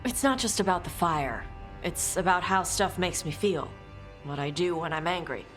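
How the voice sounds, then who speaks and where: An actress playing a blunt, guarded young dragon hunter in a video game, speaking in terse, casual phrases. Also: female voice, fairly low pitch, moderate pace, a bit bright, natural voice. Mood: troubled, guarded. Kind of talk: video game cutscene acting. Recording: music behind the voice.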